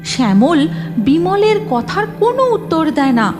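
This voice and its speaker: A woman's voice: extremely high pitch, very animated, moderate pace. A woman narrating a scripted cartoon story expressively, with music behind her.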